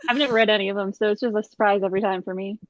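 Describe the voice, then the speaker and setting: A woman's voice: mid-range voice, steady tone, racing, no echo, muffled recording. A woman talking casually as a panelist in a live book club chat.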